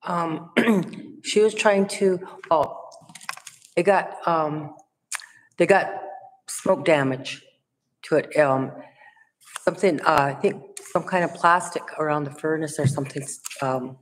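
Low, slow-talking hesitant woman. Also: slight background noise. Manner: hesitant, many fillers. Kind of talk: council meeting report. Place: large council chamber. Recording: long reverb in a hall.

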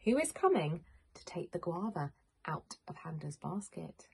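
Woman, forties, very husky breathy voice, lively swooping intonation, children's story time, teacherly questions. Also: on the low side, moderate pace. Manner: expressive, questioning, clearly addressing small children. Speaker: primary school teacher. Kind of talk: interactive picture-book story time for young children. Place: small home room with bookshelves, indoors.

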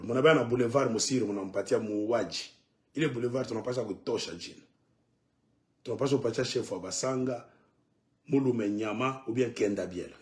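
A middle-aged man, delivering an impassioned, emphatic political monologue to camera at home. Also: mid-range voice. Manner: emphatic, animated, sweeping arm gestures. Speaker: political commentator. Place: living room at home, indoors.

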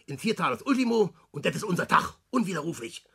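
An actor playing a brash, impatient small-time crook with a Berlin accent in a 1960s German radio play, mocking and exasperated. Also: male voice, high voice, sing-song, quick pace, vocal fry, a bit bright, slightly rough, exaggerated voice. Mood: impatient, determined.

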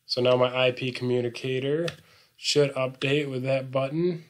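A man presenting an unscripted software tutorial, explaining things informally step by step. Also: medium pitch, flat delivery, moderate pace.

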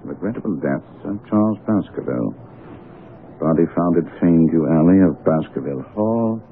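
An actor playing a shrewd, composed gentleman detective in a radio drama, analytical and dryly skeptical. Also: deep voice, animated, moderate pace. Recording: noisy, tinny radio broadcast recording.

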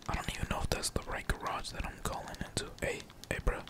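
A young man whispers casual gameplay commentary in a breathy, unvoiced voice, rambling along in slangy, profane language. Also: quick pace. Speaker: gaming streamer.

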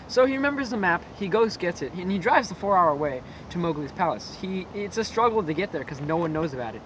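A young man rambling through a creepy story with lots of fillers, recorded in a dark room on a camera a few feet away. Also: high voice, lively intonation, quick pace, muffled recording. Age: young adult. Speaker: co-host. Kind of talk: casual storytelling. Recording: noisy camera audio.

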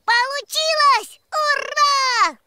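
A woman's voice: very high-pitched, very slow, heavy background noise, heavily acted. A woman voicing a little ant in a children's cartoon, plaintive, whining and given to exclaiming. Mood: overjoyed. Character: tearful, discouraged little ant who wants a house.

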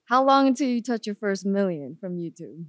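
A woman's voice: medium pitch, animated, normal speed, no echo, studio quality. A woman hosting an interview, asking direct questions and giving short affirming replies. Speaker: podcast host. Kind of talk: casual interview.

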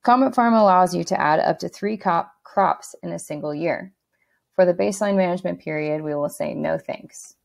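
A woman narrating a screen-recorded software walkthrough in a steady instructional tone, with a few fillers and restarts. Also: fairly low pitch, normal speed, vocal fry.

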